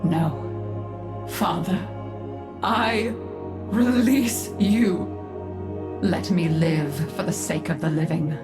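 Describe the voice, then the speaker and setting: A woman playing a proud, formal matriarch in a video game drama, speaking in a measured, stately way. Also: fairly low pitch, over music. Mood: grieving, resolute. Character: proud, formal, grieving matriarch of an estranged family.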